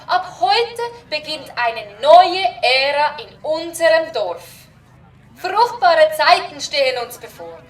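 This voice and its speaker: Female village dignitary acted by a woman, very high voice, measured pace, pompous and proud speechmaking, children's audio play. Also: very animated, distant mic, full character voice. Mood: proud, ceremonious. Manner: ceremonious, pompous. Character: proud, formal village official announcing to a crowd. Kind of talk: children's audio drama acting.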